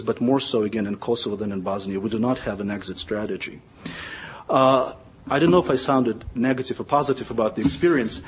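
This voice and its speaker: A man speaking on a conference panel, measured and pausing often, repeating words as he searches for them. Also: medium pitch, a little hiss or hum.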